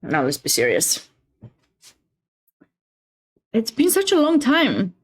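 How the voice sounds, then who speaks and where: A woman's voice: on the high side, wildly swooping pitch, slow pace, husky. A woman with a Romanian accent hosting a chess livestream, chatting casually and expressively with viewers between bits of commentary.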